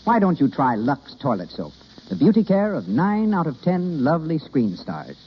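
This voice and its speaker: An American man announcing and pitching products in the polished style of old-time radio. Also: huge pitch swings, moderate pace. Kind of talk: 1930s-40s radio announcing and sales pitch. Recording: muffled, rough vintage radio broadcast.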